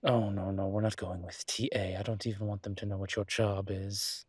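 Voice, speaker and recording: A man voicing a refined, wary, ancient demon professor in an intimate audio roleplay, with husky, measured, halting, wry and formal delivery. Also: on the low side, little pitch movement, no echo. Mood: firm warning.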